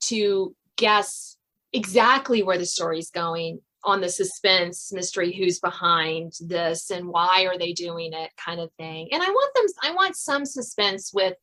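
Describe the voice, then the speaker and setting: A woman's voice: mid-range voice, fairly bright tone. A woman hosting a podcast interview, talking in a chatty, laughing way with many fillers. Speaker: podcast host. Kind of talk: casual interview chat.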